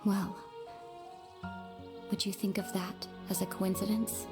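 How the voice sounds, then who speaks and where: A woman playing a grave, sorrowful young sister in a video game, speaking in a measured, solemn way hardened by long war. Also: mid-range voice, flat delivery, lightly acted. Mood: wistful, sorrowful. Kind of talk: dramatic video game voice acting. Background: game music.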